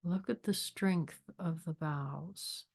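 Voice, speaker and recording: Lady doing a guided meditation, kinda low voice, really slow n measured, lots of pauses. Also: creaky, darkish tone, breathy edge. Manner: measured, deliberate, with long pauses. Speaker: meditation leader, spiritual minister. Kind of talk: guided meditation with devotional readings.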